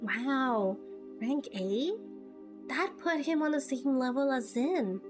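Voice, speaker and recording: A woman doing amateur game voice acting as a spirited, earnest teenage girl adventurer who talks in a casual, expressive way. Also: high voice, takes it slowly, over music. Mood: thoughtful. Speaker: amateur voice actor playing through a game.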